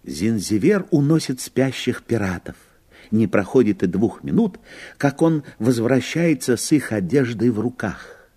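A man narrating a fairy tale as storyteller in a scripted audio play, with a husky voice. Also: mid-range voice, lively intonation, a little slow, dark tone. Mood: unhurried storytelling.

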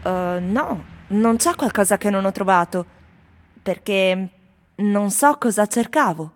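An actress playing wry, plaintive tourists in a theatrical radio reading. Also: female voice, mid-range voice, lively intonation, slow. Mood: reflective. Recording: music behind the voice. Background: music.

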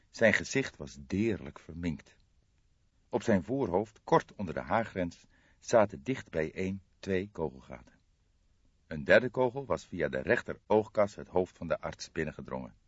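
A man's voice: low-pitched, husky, no echo. A man reading a crime audiobook in a dramatic scripted narration style. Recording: slightly dull audio.

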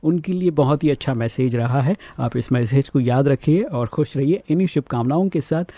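A male radio host from India leads a studio interview, listening closely and putting in steady affirming murmurs before a courteous, formal farewell. His voice is mid-pitched and mellow, recorded dry and close. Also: normal speed, no echo. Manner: attentive, frequent affirming murmurs, formal sign-off.

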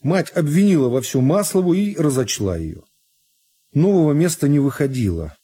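A man reads literary narration for radio in a measured, deliberate storytelling manner. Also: fairly high pitch, very animated, warm tone, breathy edge. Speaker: narrator of a radio reading of a classic novel.

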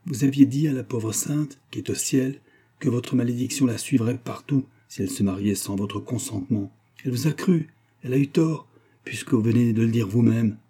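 A man's voice: mid-range voice, moderate pace, warm tone, breathy edge. A man voicing a pious, dignified old woman servant who speaks in solemn, firm reproach in a storybook reading. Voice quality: grave voice. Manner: solemn, plain-spoken, firm.

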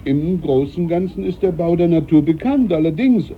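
An actor playing a stiff, aggrieved ship's doctor in a German radio play, formally polite yet resentful. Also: male voice, a little high, lively intonation, moderate pace, natural voice. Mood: stiff, protesting. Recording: tinny, clearly noisy 1980s radio recording.

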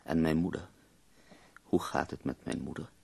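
The husky, very low-voiced invalid poet of a radio play, delivering weary, self-mocking irony from his sickbed. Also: fairly even intonation, normal speed, a little mellow, heavily acted. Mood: weakly pleased. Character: ailing, bitterly ironic, bedridden male poet. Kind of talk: radio drama acting.

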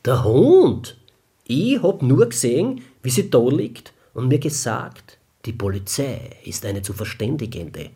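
An actor playing a cocky Austrian band musician in a radio crime drama, husky, flippant and drawling, with slangy, oddly stilted phrasing. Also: male voice, medium pitch, sing-song, unhurried, warm tone, full character voice. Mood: chatty. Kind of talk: colloquial radio play dialogue.

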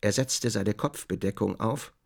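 A man's voice: on the low side, a little slow, vocal fry, darkish tone. A man reading scripted literary narration as the narrator of a radio drama.

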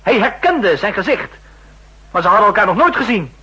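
Driven accuser, high and very animated, emphatic, formal, husky grain, 1960s radio play. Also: male voice, fairly quick, exaggerated voice. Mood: insistent, biting. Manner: pointed, emphatic, biting, formal. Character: driven, insistent male counter-intelligence official making accusations. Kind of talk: 1960s radio drama acting. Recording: rough old 1960s radio recording.

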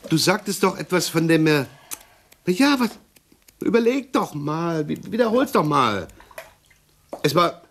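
A man's voice: a little high, very animated, measured pace, pauses often, a bit bright, heavily acted. An actor playing a pedantic, self-satisfied middle-aged policeman husband in a radio play, fussy and blustering, repeating himself. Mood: aggrieved. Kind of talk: 1960s German radio play acting.

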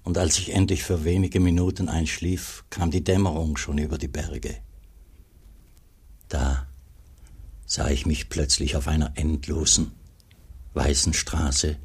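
A very deep, husky, sorrowful monk narrator. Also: male voice, flat delivery, unhurried, pauses often, full character voice. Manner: grave, literary, confessional. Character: sorrowful, devout monk recounting his own torment. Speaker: actor narrating in a radio play. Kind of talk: first-person radio drama narration.

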